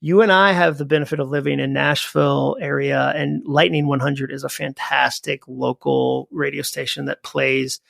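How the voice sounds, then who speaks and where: A man in his forties from New England, a podcast guest telling rambling, animated stories with lots of fillers. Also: medium pitch, moderate pace, creaky, few pauses, no echo, clean studio sound. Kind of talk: casual conversation.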